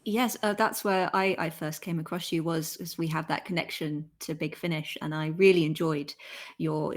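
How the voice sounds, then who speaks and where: A woman hosting an interview, asking questions in a conversational style. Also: low voice. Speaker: interviewer, host.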